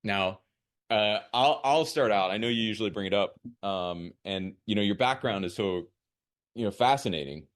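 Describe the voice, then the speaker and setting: A man's voice: on the low side, normal speed. An American man hosting a podcast in a conversational style. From United States. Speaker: podcast host.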